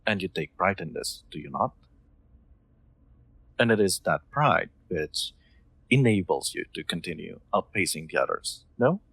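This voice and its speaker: Man acting as kind soft-spoken master, low creaky voice, gentle formal phrasing, hesitant, intimate audio roleplay. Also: expressive, a little slow, long pauses, no echo, heavily acted. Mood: tender, persuasive.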